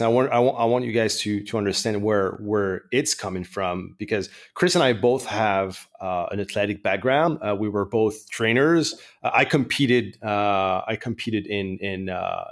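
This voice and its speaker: Low-voiced man hosting a podcast, rambling. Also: moderate pace, few pauses. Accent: French Canadian. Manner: rambling, many restarts and fillers. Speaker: podcast host. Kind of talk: casual chat.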